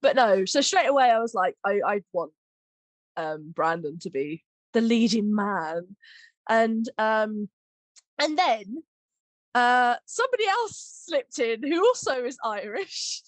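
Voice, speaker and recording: A woman's voice: fairly high pitch, sing-song, takes it slowly, no echo. A woman hosting a casual, jokey group chat about audio drama, a little short of breath as she talks. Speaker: podcast host and audio drama writer.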